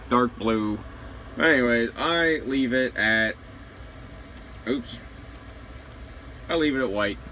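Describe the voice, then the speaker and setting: A man giving a casual hands-on gear walkthrough, plain-spoken and halting, pausing between short phrases. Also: mid-range voice, rough recording. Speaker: truck driver showing his CB radio setup. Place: inside a truck cab. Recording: steady vehicle and air-conditioning noise behind.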